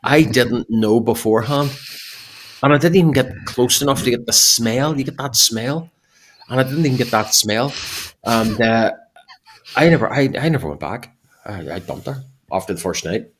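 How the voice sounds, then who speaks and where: A man's voice: mid-range voice, moderate pace, slight background noise. A middle-aged man, co-hosting a podcast and telling blunt, jokey stories in casual banter. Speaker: podcast co-host.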